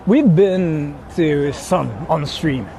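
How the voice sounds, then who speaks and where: A man with a Japanese accent talks in a slightly high voice over light outdoor city noise. He rambles casually like a live streamer, informal and blunt as he chats with viewers. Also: very slow, warm tone. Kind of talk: casual livestream chat, answering viewers. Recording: noisy outdoor street, traffic ambience. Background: urban ambience and vehicles.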